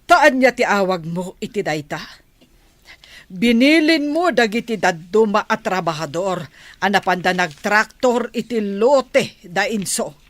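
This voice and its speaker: A woman's voice: medium pitch, expressive, measured pace, no room sound, natural voice. A woman with a Filipino accent playing a worried, reproachful middle-aged village mother in a radio drama.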